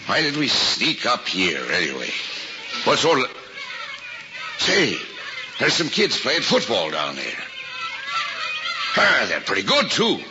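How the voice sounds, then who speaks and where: A man's voice: medium pitch, wildly swooping pitch, takes it slowly, pauses often. An actor playing a kindly, fatherly working-class cab driver with an American accent and folksy, colloquial speech in a mid-century screen drama. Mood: surprised, animated. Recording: muffled, noisy old archival film soundtrack.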